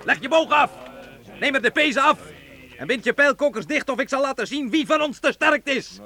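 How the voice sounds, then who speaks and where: Shrill, haughty feudal knight. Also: male voice, animated, brisk, pushed and bright, exaggerated voice. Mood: imperious, threatening. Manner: imperious, emphatic commands. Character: haughty threatening feudal knight, lord of his land. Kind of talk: radio play acting.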